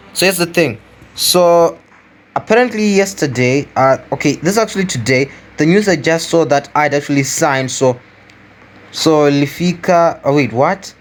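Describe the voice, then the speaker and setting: A man hosting a gaming video, giving casual gameplay commentary as he thinks aloud, repeating phrases and addressing viewers. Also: on the high side. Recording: clearly noisy home recording.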